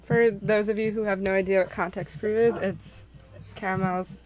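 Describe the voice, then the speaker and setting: A woman's voice: mid-range voice, steady tone, moderate pace, highs cut off, soft background music. A woman chatting casually among friends during a read-along, making playful, dry asides. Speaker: guest voice actor in a group read-along. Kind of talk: casual chat.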